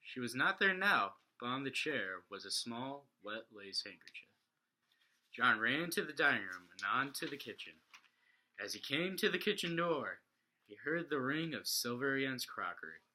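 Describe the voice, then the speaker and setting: A man's voice: medium pitch, sing-song, moderate pace, pushed and bright, low-quality recording. A man reading a children's story aloud. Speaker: storybook reader.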